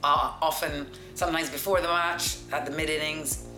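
A woman in her forties with a deep voice, telling stories as an interview guest in a confident, practised broadcaster's way. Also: fairly even intonation, normal speed, bright, forceful tone. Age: 40s. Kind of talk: relaxed storytelling interview. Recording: echoey room with music behind.

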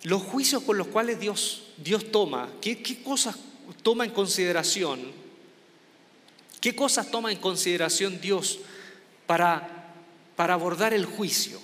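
A man's voice: high voice, animated, unhurried, long pauses, fairly bright tone, long reverb tail. A man from Chile with a Chilean accent, preaching an informal, conversational sermon with many restarts and a self-mocking tone. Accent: Chilean Spanish. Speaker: pastor.

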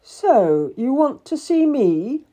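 A woman's voice: high voice, sing-song, takes it slowly, warm tone, voice pushed up, heavily acted. A woman voicing a brusque, condescending, calculating Tudor nobleman in a dramatised audiobook. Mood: surprised, imperious. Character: curt, haughty Tudor nobleman, busy and calculating.

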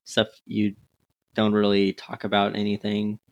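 Teenage guy, fairly low voice, blunt, offhand, casual chat. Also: completely flat delivery, a little slow, dry recording, muffled recording. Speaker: podcast guest. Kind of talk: casual chat among friends.

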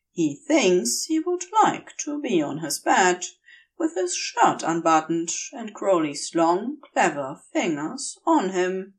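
Slow, expressive woman reading a story. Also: medium pitch, far from the mic. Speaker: fan fiction audiobook reader. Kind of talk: expressive scripted story narration.

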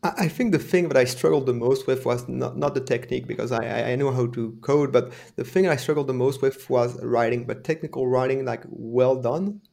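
Man explaining calmly. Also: medium pitch, creaky. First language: French. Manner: measured, explanatory, reflective. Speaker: developer advocate guest. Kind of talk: conversational interview answers.

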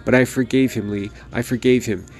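A man voicing a domineering elderly mother who scolds her son melodramatically, in a gravelly voice. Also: medium pitch, fairly even intonation, brisk, darkish tone, close to an everyday voice, poor audio. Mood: aggrieved.

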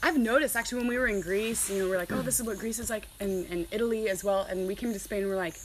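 A woman's voice: mid-range voice, quick pace, slight background noise. A woman hosting a casual podcast interview, often chiming in with short, agreeable replies.

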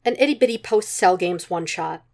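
A woman's voice: on the low side, lively intonation, brisk, vocal fry, a little mellow. A woman reading a story aloud as scripted narration, in the manner of an amateur audiobook. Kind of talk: scripted narration of fan fiction.

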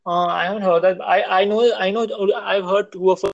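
A young man co-hosting a casual podcast over a call, halting and repeating his words. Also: high-pitched, steady tone, creaky, lo-fi sound, a little hiss or hum. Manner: hesitant, repeats words and restarts often. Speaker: podcast co-host. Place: at home in India. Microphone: call audio.

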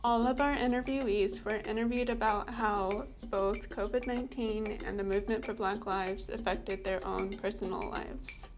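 A young woman from Texas hosting a podcast, reading a scripted introduction in a clear, measured way. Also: medium pitch, steady tone, soft background music. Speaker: podcast host and graduate student.

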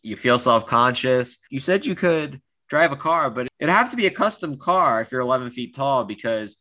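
A man's voice: medium pitch, expressive, moderate pace, sharp tone. A man co-hosting a podcast in casual chat, easygoing and hedging as he talks. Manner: easygoing, hedging with lots of "like" and restarts. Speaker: podcast co-host.

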